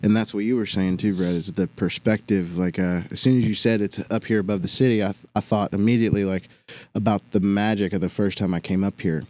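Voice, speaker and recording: A man from West Virginia, a guest musician telling a story on a podcast in an earnest, animated way with casual swearing. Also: fairly low pitch, quick pace. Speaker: guest musician and past co-host. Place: recording studio on a hill.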